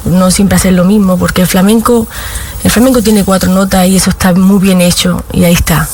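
A woman's voice: fairly low pitch, steady tone, fairly quick, darkish tone, a little hiss or hum. A woman with an Andalusian accent, a flamenco singer from Granada, chatting in an interview, laughing often and talking in short loose fragments. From Granada, Spain. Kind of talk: casual interview chat.

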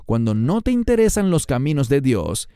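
A man's voice: mid-range voice, sing-song, moderate pace, warm tone, clean tone, no room sound. A man preaching a sermon with emphatic stress, his voice climbing and dropping to press each point.

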